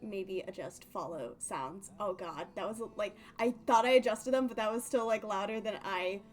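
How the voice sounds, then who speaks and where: A woman's voice: mid-range voice, moderate pace, faint music behind. A woman chatting casually on a game livestream in a rambling, giggly, slightly nervous way. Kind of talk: casual game stream chat.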